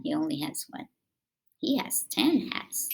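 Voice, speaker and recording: A woman reading a picture book aloud to young children in an expressive way, with drawn-out emphasis and chatty asides. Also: mid-range voice, creaky.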